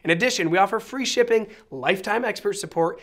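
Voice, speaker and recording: A man's voice: sits high, fast talker, fairly bright tone. Polished American man presenting a product review. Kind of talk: scripted review narration to camera. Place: small indoor studio set.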